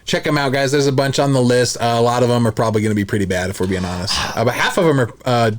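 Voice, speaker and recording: A man with a mid-pitched voice chats as a podcast co-host. His talk is informal and slangy, with an enthusiastic streak, in the style of casual banter about movies and games. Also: very fast.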